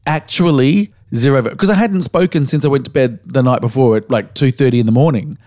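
An Australian man co-hosting a podcast, slightly hoarse as he recovers his voice, telling stories in a frank, casual way with the odd swear word. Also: mid-range voice, a little fast, darkish tone, no echo, studio quality. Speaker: podcast co-host.

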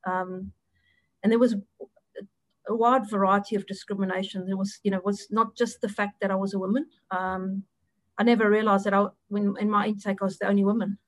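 A woman's voice: mid-range voice, level tone, fairly quick, dry recording, low-quality recording. South African woman, hesitant and reflective. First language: Afrikaans. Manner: hesitant, many restarts and ums. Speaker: interview guest, audit partner. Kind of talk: reflective interview answers.

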